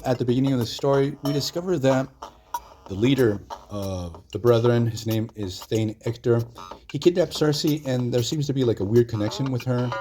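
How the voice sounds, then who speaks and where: A hoarse man hosting his own channel, retelling a comic story in a loose, chatty way with lots of fillers. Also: medium pitch. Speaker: comic book channel host. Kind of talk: casual solo video commentary.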